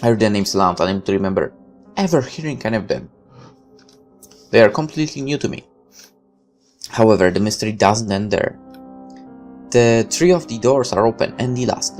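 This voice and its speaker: A man with a mid-pitched voice reads a story game aloud as a let's player. He narrates expressively and slips into casual asides of his own. Music plays behind his voice. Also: normal speed, pauses often, darkish tone. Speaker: gaming let's player reading a visual novel aloud.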